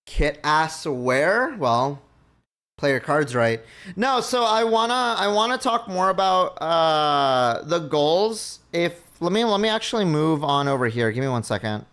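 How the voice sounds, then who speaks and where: A man's voice: fairly high pitch, big pitch swings, a little slow, a bit bright. A man from Mexico chatting casually with his stream viewers, rambling and thinking aloud as he goes. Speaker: livestream host.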